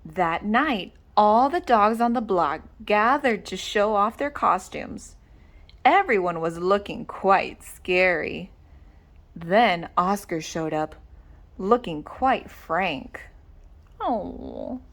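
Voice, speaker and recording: A woman reading a children's story aloud with dramatic, deliberate expression. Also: mid-range voice, lively intonation. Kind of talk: animated read-aloud narration.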